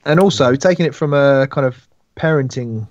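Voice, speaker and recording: A man's voice: mid-range voice, moderate pace, dry recording, dull audio. A man who is a parent, co-hosting a live podcast and joking around with playful asides. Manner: playful, animated storyteller. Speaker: podcast co-host. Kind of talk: casual live-stream chat.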